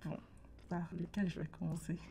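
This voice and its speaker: Brief: teenage woman, very low, flat delivery, soft-spoken radio guest. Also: moderate pace, vocal fry. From Burkina Faso. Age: late teens. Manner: soft-spoken, searches for words. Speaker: interview guest. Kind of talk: radio interview. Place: radio studio.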